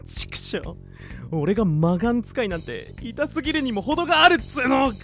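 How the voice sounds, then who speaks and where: Teen straight man, radio drama: male actor as a grumbling boy, bright and expressive, casual sharp retorts, music underneath. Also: extremely high pitch, normal speed, vocal fry, heavily acted. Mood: exasperated. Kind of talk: anime-style radio drama acting.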